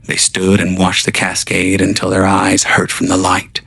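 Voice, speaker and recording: A man narrating a scripted audio drama in a slow, solemn, deliberate way. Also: sits low, monotone, vocal fry, pushed and bright, slightly rough, no echo. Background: faint music.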